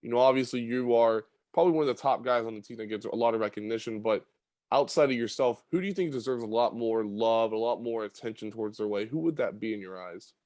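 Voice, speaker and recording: A man hosting a high school basketball podcast, asking long questions padded with fillers. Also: fast talker, a little mellow, no echo. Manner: chatty, filler-heavy questions. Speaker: podcast host. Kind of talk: sports interview podcast.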